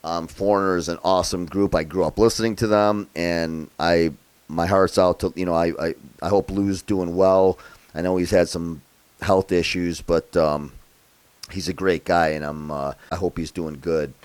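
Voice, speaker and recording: A man from upstate New York giving a relaxed, chatty piano lesson, thinking out loud as he plays by ear. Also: sits low, normal speed, clear, resonant tone. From Rochester area, New York, USA. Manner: relaxed and chatty, thinks aloud while playing. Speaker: piano tutor. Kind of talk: casual play-by-ear piano tutorial. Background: loud piano playing.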